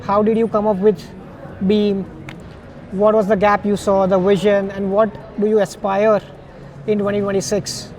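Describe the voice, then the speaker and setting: A man's voice: sits high, little pitch movement, normal speed, darkish tone. A man interviewing a guest conversationally, affirming and recapping points.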